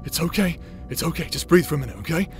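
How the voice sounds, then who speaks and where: A man's voice: on the high side, lively intonation, quick pace, soft background music, lightly acted. A man acting out a cocky, battle-worn adventurer, protective and teasing, in an audio roleplay. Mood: urgent, soothing.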